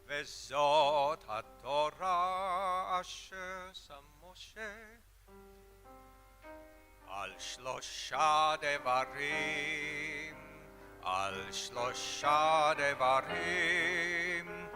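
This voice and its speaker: A cantor chanting prayers slowly in a synagogue service. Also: medium pitch, expressive, bright, forceful tone, soft background music. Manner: slow, drawn-out sung prayer. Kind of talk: liturgical chanting. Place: synagogue sanctuary.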